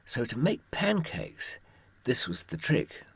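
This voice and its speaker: A man with a husky voice telling first-person stories and advice informally, with wry, understated humour. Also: mid-range voice, wildly swooping pitch, takes it slowly, dry recording. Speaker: author and former prisoner giving advice.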